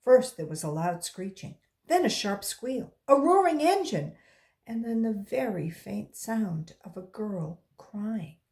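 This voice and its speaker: Woman, storybook read-aloud, very lively intonation, slow deliberate pace, expressive delivery for children. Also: medium pitch. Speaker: volunteer storybook reader.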